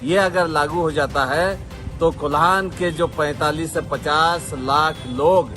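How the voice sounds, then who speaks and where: A man making an unscripted political statement in halting, repetitive phrases. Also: on the high side, steady tone. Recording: music over outdoor clip.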